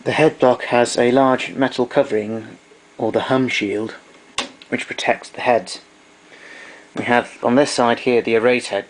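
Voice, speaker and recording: A man's voice: mid-range voice, rough recording. A man giving an informal technical demonstration of equipment, explaining things methodically.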